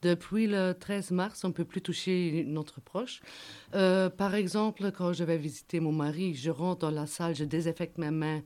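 A woman's voice: fairly low pitch, steady tone, moderate pace, a little mellow. German-native woman searching for words. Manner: searching for words, repeating syllables. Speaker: guest, prisoner's wife. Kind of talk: earnest testimony.

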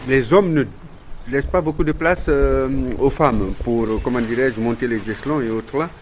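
A man's voice: mid-range voice, normal speed, darkish tone. A man giving his opinion in a street interview, hesitant and searching for words. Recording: noisy location with ambient sound.

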